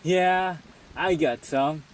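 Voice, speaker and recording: A man's voice: a little high, drawn-out words, rough recording. A man playing a bold, declamatory heroic officer in an amateur radio drama. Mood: defiant, commanding. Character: bold heroic male officer making an arrest. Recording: loud music over the voice.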